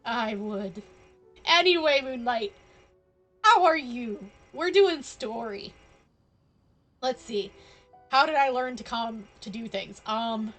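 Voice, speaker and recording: A woman's voice: fairly high pitch, animated, takes it slowly, long pauses, a bit bright. A woman live streaming a game, chatting casually and playfully with viewers. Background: game music.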